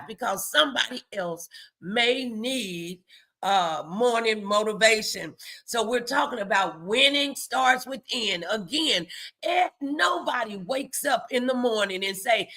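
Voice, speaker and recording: Female motivational host, faith-based exhortation. Unhurried, bright and forceful, emphatic, talks straight to the viewer. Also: on the high side, vocal fry. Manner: emphatic, repetitive, addresses viewers directly. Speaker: host of a morning motivation live stream.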